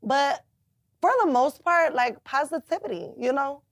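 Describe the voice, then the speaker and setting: Raspy-voiced young woman rapper, frank. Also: high-pitched, unhurried, dry recording, studio quality. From Chicago, US. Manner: laid-back, frank, swears freely. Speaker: rapper and singer guest. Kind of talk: casual interview.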